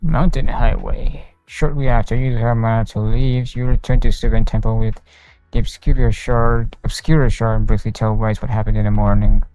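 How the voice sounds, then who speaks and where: A male streamer with a mellow, medium-pitched voice reads game text aloud. He stumbles over words now and then, in a roomy space with faint music behind. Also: steady tone, brisk. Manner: stumbles while reading aloud. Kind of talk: reading game dialogue and narration aloud on a livestream.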